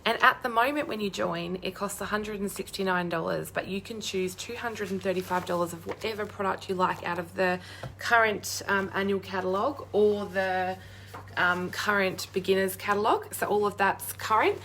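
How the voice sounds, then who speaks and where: A young woman with a hoarse, croaky voice chatting bubbly on a live stream, greeting viewers by name. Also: medium pitch, moderate pace, fairly bright tone, slight background noise. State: hoarse, losing her voice. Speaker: crafting demonstrator hosting a live stream.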